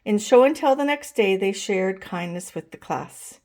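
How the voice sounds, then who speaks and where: A woman reading a children's picture book aloud as a storytime host, expressive and pausing to address young listeners. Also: mid-range voice. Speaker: storytime reader.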